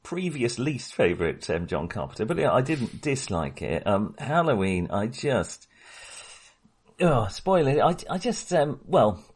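A man's voice: mid-range voice, big pitch swings, unhurried, breathy edge. A man co-hosting a film podcast in casual discussion, talking hesitantly, often interrupting himself and rambling.

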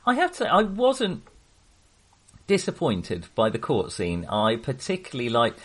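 A man's voice: medium pitch, wildly swooping pitch, normal speed, husky. A man hosting a casual film discussion, speaking hesitantly and often correcting himself as he digresses. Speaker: podcast host.

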